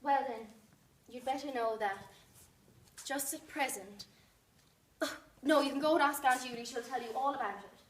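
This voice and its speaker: A woman's voice: high voice, moderate pace, close to an everyday voice. A woman playing a cool, discontented young wife in a stage drama, speaking in a curt, controlled, disdainful way. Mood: exasperated, scornful. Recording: noisy, echoey room, distant microphone.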